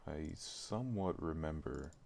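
A man with an extremely low, creaky voice gives casual live-stream commentary. He speaks in halting bits, muttering as he works things out. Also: expressive, darkish tone. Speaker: live streamer working on a game mod. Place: indoors at a computer, keyboard typing.

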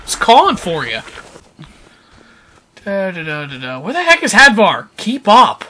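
Excitable, high-voiced man commentating a game. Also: measured pace. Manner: excitable, jokey, prone to exclaiming. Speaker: co-host of a video game show. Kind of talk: casual, joking gameplay commentary.

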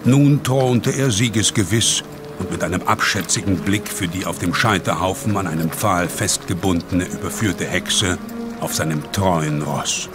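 Male narrator, lowish voice, solemn scripted horror storytelling, measured delivery, clear music underneath. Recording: dramatic music behind the narration.

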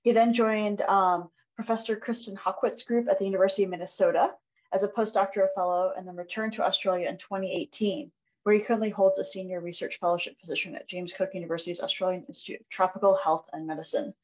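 Fast-talking woman on muffled call audio. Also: medium pitch, steady tone. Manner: reads a bio with ums. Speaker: event moderator. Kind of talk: reading a speaker introduction. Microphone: video call.

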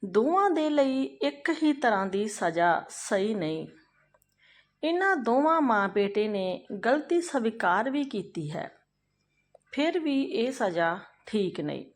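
A woman's voice: fairly high pitch, very animated, takes it slowly, exaggerated voice. A woman storyteller voicing a merciful, reasoning male royal minister making a respectful, earnest appeal in a folk tale.

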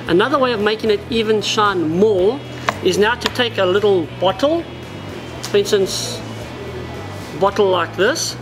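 A man's voice: high voice, measured pace, pauses often, music in the background. A South African man in his forties explaining fishing gear at a tackle shop counter, in a practical, explanatory way. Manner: practical, explanatory, addresses viewers as guys. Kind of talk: product demonstration. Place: indoor tackle shop, seated at a wooden counter.

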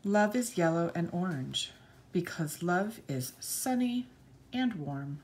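Female story reader for young kids; slightly low register, swooping expressive delivery, light room noise. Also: slow. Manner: expressive, addresses children directly. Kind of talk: read-aloud storytelling for young children.

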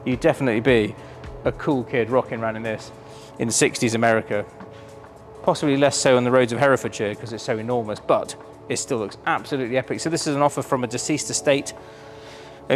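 Enthusiastic man presenting cars. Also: mid-range voice. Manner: enthusiastic, chatty, filled with ums. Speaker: auction house presenter. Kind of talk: casual presenting of a car preview. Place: large warehouse showroom with cars.

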